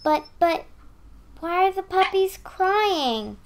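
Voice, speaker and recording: A woman's voice: very high-pitched, expressive, takes it slowly, full character voice. A young female performer playing a chatty, worrying little girl in a radio play. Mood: worried.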